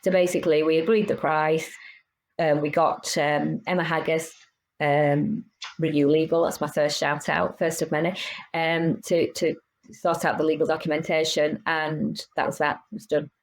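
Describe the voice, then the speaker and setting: A woman's voice: deep voice, flat delivery, normal speed. A woman in her fifties, a business owner and podcast guest, talking frankly and animatedly in casual interview chat with many restarts. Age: 50s.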